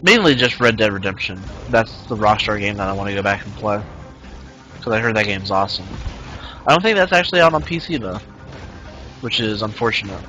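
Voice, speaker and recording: A man's voice: on the low side, expressive, fairly quick, pushed and bright, dull audio, faint music behind. A man in his twenties casually streaming a game, rambling and reading the chat aloud. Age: 20s. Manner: rambling, reads chat aloud, many fillers. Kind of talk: casual live-stream chat while gaming.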